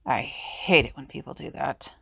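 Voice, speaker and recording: A woman's voice: a bass voice, normal speed, gravelly, fairly bright tone, slight background noise, exaggerated voice. A woman playing an irritable, sceptical seer in an audio drama, with a dry, exasperated manner. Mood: annoyed.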